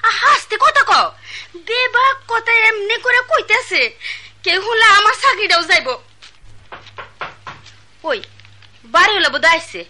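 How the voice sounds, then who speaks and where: An actress playing a poor, frightened wife who speaks an in a radio drama. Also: female voice, very high-pitched, expressive, unhurried, pauses often, pushed and bright, exaggerated voice. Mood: pleading. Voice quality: pleading, anxious. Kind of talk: Bengali radio play acting.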